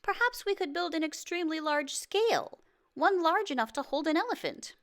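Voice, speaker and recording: A woman voicing an excitable, boastful court advisor in a storybook, speaking in an emphatic, declamatory way. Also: very high voice, moderate pace, voice pushed up. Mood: inventive. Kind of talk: storybook read-aloud.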